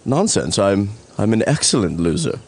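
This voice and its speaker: A man voicing a fussy, pompous middle-aged gentleman and sore loser in a cartoon, given to flustered stammering. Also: fairly low pitch, sing-song, moderate pace, husky, exaggerated voice. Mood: pompous, defensive. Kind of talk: animated comedy dialogue.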